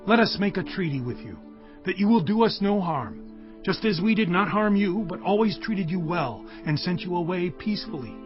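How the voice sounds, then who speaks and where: A man playing a forceful, indignant Philistine king in a dramatized scripture reading, speaking in an emphatic, declamatory way. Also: high voice, expressive, moderate pace. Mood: earnest appeal. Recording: dull studio recording under music.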